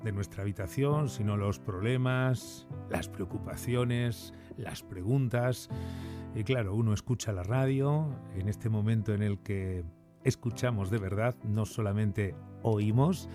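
A man's voice: fairly low pitch, a little slow. A man hosting a late-night radio call-in, speaking intimately and reflectively straight to his listeners. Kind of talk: intimate radio monologue and caller interview. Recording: music under the voice.

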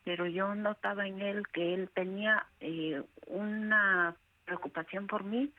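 A woman with a Peruvian accent calling a radio show, telling her personal story in a hesitant, halting way. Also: on the low side, no room sound. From Peru. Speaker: radio caller. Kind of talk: personal account told on air. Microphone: telephone. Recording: band-limited phone call to radio.

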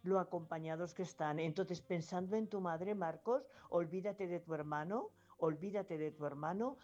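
A woman's voice: fairly low pitch, normal speed, darkish tone. A Spanish grandmother from La Mancha in her fifties, calling a radio show to give earnest, insistent advice, repeating herself and hesitating often. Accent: Spanish from Spain. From La Mancha, Spain. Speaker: radio caller. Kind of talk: emphatic advice on a phone-in. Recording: dull lo-fi phone-in line with faint music.

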